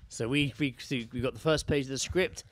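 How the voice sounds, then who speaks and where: A man's voice: medium pitch, steady tone, brisk, no echo. A British man hosting a podcast in casual, chatty, sweary banter. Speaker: podcast host.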